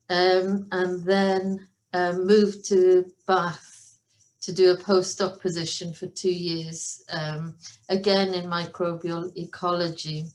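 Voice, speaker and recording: A woman's voice: fairly low pitch, rough recording. A Welsh woman in her forties, a professor presenting online in a friendly manner, with many ums and restarts. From Wales. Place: university office on video call.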